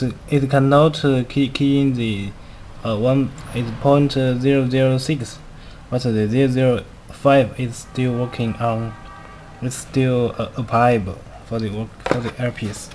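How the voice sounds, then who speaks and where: A man who speaks English as a second language, giving a halting step-by-step product demonstration in short, simple phrases. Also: mid-range voice, steady tone, a little mellow. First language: not a native English speaker. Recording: clearly noisy amateur recording.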